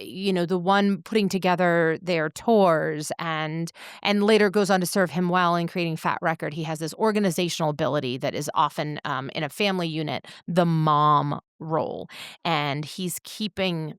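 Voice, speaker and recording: A woman co-hosting a podcast, speaking in a measured, articulate way as she reflects and analyzes. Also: low-pitched, smooth, no room sound, clean studio sound. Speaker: podcast co-host.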